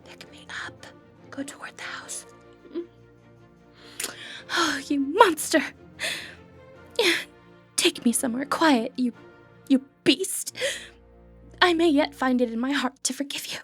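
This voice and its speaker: Woman acting a flirtatious high-voiced lady thief. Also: slow, long pauses. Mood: feigned outrage. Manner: playful, teasing, genteel old-fashioned phrasing. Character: flirtatious, confident lady thief of noble upbringing. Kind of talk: ASMR fantasy romance roleplay acting. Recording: background music under voice.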